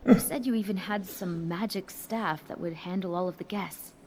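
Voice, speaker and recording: A woman's voice: on the low side, normal speed, noisy background, lightly acted. A woman voicing a mild, sympathetic character in a fantasy game, in a plain manner.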